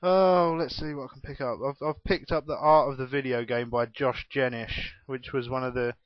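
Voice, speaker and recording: A man's voice: medium pitch, steady tone, moderate pace, dry recording. Chatty well-spoken young English man. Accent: well-spoken southern English. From England. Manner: chatty, self-mocking, laughs often. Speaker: gaming YouTuber. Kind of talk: casual vlog Q&A. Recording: dull, dry home recording.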